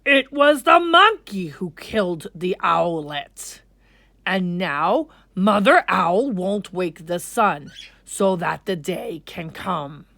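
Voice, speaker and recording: A woman voicing a grave, commanding lion king in a storybook, with regal, deliberate proclamations. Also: animated, sharp tone, no room sound, full character voice. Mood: proclaiming. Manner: regal, booming, slow proclamations.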